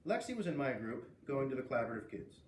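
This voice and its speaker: Male storyteller for a children's read-aloud: expressive, medium pitch, roomy sound with faint noise. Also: quick pace, far from the mic.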